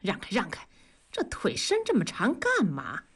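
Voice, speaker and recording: Sharp-tongued, high-swinging nagging wife , as a storyteller plays her. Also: very animated. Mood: irritated, scolding. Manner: mocking, brisk, colloquial northern Chinese speech.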